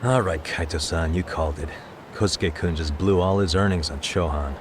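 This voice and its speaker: A man playing a measured, easygoing, fair-minded investigator in a video game cutscene. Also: deep voice, noisy background, close to an everyday voice. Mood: matter-of-fact. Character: level-headed, fair-minded male investigator. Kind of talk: dramatic game cutscene dialogue, English dub.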